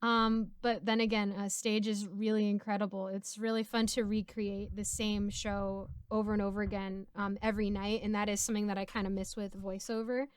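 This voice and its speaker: A woman's voice: mid-range voice, fairly quick, few pauses, smooth, dry recording, clean studio sound. A woman chatting casually and giggling as she hosts a question-and-answer session with friends. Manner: giggly, animated. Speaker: host and voice actor answering viewer questions. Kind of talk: casual Q&A chat among friends.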